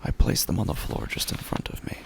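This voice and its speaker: An actor playing a haunted, grieving, quietly unhinged husband who narrates a horror audio drama in halting fragments with a breathy, gravelly whisper. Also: male voice, background noise, close to an everyday voice. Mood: hushed, resolute. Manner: halting, fragmented, drawn-out phrases.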